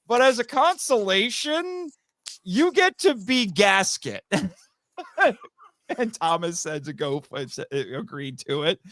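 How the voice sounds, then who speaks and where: A man speaking as a podcast guest and amateur voice actor, telling stories casually in an animated, self-amused way. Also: high voice, no room sound, studio quality. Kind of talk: casual interview storytelling.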